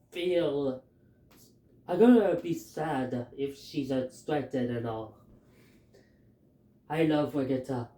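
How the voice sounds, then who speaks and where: A man with a Filipino accent talking through his fan theories in a halting, hesitant way, with many fillers. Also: on the high side, dark tone, echoey room, poor audio. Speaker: fan commentator. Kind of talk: casual theory talk.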